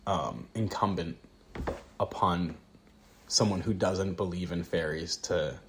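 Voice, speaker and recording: A man co-hosting a podcast in casual conversation, relaxed and quick to laugh. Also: deep voice, a little hiss or hum. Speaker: podcast co-host.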